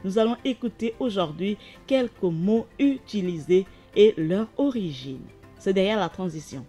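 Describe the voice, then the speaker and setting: Woman radio host, warm dark voice, easygoing, talking listeners through the show from the studio, soft music under her. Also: mid-range voice, lively intonation, measured pace. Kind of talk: live radio presenting.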